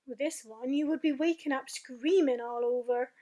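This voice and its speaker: A woman's voice: high-pitched, moderate pace, warm tone, close to an everyday voice. A woman voicing a big friendly male giant who mangles his grammar, invents words and bursts into exclamations in a storybook reading. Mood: grave insistent.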